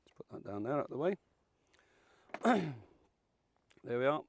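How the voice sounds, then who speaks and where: A man around seventy, croaky and hoarse from illness, hosting a fishing channel and walking through a rig in a chatty, folksy, self-deprecating way. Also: medium pitch, sing-song, measured pace, a little hiss or hum. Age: 70s. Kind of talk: casual how-to demonstration.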